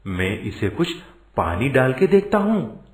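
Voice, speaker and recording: A man's voice: mid-range voice, very animated, unhurried, roomy sound. A man voicing a humble, good-hearted auto driver in a cartoon moral story, with an earnest manner. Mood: surprised, curious. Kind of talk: animated moral story dialogue.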